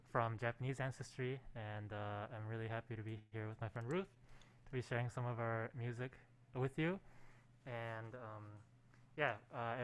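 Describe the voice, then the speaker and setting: A man in his twenties from Alaska, a musician introducing himself casually through a face mask into a living-room mic. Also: medium pitch. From Fairbanks, Alaska. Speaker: guest musician. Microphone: studio mic on a boom arm, through face mask. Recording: faint background room noise.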